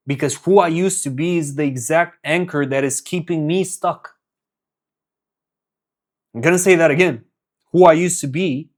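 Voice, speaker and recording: A young man from Romania giving a casual, earnest motivational talk as a business coach, with frequent fillers and restarts. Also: fairly high pitch.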